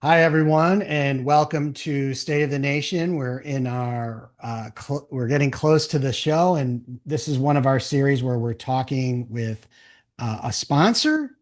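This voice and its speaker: A man's voice: medium pitch, unhurried. Casual male interview host.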